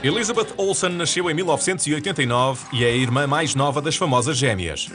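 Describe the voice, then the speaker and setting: Man, european portuguese accent, smooth even tv narration, slightly high pitch, music playing under him. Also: fast talker. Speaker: channel announcer. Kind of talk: scripted promo narration. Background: music bed.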